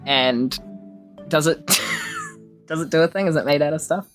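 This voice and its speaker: A woman's voice: deep voice, huge pitch swings, unhurried, fairly bright tone, faint music behind. A woman with a New Zealand accent chatting and improvising playfully in a tabletop role-playing game, in a rambling, jokey way. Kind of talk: casual improvised role-play chat.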